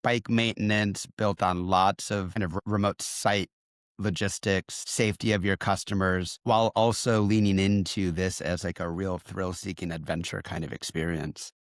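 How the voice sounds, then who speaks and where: A male podcast host with a very deep voice asks measured, probing questions. His delivery is steady, and the sound is close and dry. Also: no room sound. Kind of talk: interview questions.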